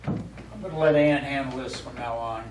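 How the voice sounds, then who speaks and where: Male actor as cautious wealthy gentleman, reserved, halting speech, stage play, echoey, far from mic. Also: mid-range voice, fairly even intonation, lightly acted. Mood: politely dismissive. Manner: halting, politely reserved, repeats words. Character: cautious, polite, wealthy conservative gentleman client. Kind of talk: live stage play acting. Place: small indoor theater studio. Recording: echoey stage recording from far off, faint noise.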